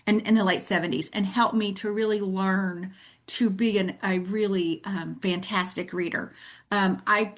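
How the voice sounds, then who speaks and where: A middle-aged woman from Tennessee with a Southern American accent, telling her story in an earnest, reflective way as a guest author in a casual interview. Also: medium pitch.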